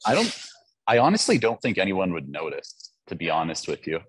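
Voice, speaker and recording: A young man chatting casually before a webinar on a video call from a lecture hall, relaxed and chatty with many fillers. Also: low-pitched, fairly quick, breathy edge. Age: young adult. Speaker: conference speaker. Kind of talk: casual pre-webinar chat. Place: front of a university lecture hall.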